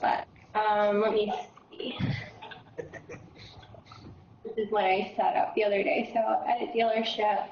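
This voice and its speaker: A woman with a froggy voice leading a casual software training session, explaining steps with lots of fillers. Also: mid-range voice, level tone, a little slow, long pauses, reverberant, muffled recording, a little hiss or hum. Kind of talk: casual screen-share training.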